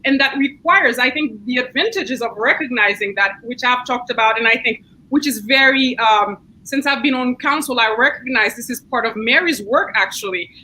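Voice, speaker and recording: A woman's voice: a little high, moderate pace, hardly any pauses, pushed and bright, slight background noise, rough recording. A woman with a Haitian accent, a city council member speaking earnestly and thoughtfully in an online book discussion, often restarting her sentences. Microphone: video call.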